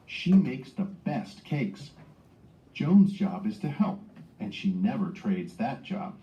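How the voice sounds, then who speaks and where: Male narrator for children's read-aloud, lively varied intonation, muffled lo-fi sound in a roomy space. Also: mid-range voice, slow. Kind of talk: scripted children's storybook narration. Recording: dull lo-fi playback in echoey room.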